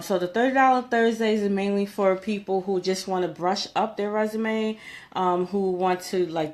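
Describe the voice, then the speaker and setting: A woman with a slightly low-pitched voice talks straight to her viewers as a coach. Her delivery is informal and conversational, with emphatic points and small check-ins along the way. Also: a little mellow. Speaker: career coach giving job interview tips. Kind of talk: informal advice vlog, talking to viewers.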